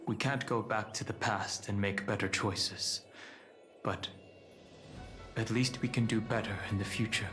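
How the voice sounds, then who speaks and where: An actor playing an earnest young dragon guardian in a video game, solemn and deliberate. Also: male voice, fairly low pitch, steady tone, a bit bright. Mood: calm resolve. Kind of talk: dramatic video game voice acting. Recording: game music behind voice.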